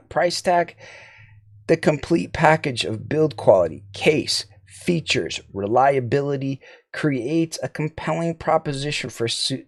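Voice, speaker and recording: A man's voice: medium pitch, no room sound, studio quality. A man narrating a semi-scripted product review as the host of an audio gear channel.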